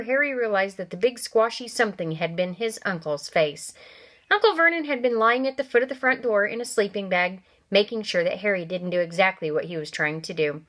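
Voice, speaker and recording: A woman reading a children's novel aloud, with animated character voices and chatty asides to young listeners. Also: fairly high pitch. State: coughing from allergies. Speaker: reader.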